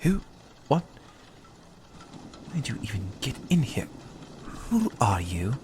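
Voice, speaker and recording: A man's voice: mid-range voice, wildly swooping pitch, darkish tone, slight background noise. A man playing a smug, predatory vampire in an ASMR roleplay, teasing and coaxing as he speaks. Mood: startled, challenging. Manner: slow, teasing, menacingly coaxing, sometimes whispering.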